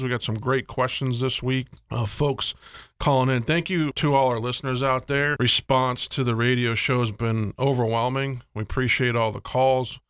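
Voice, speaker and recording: A man's voice: medium pitch, a bit bright, clean tone, dry recording. American radio host, man with a dry sound. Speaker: financial advisor hosting a radio show. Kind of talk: conversational financial radio talk.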